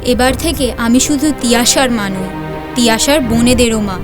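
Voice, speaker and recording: A strict, protective mother played by a woman with a very high voice. She speaks in a stern, commanding manner as in an audio drama, over clearly heard music. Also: normal speed, close to an everyday voice. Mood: resolved. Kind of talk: audio story acting. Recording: music playing under the voice.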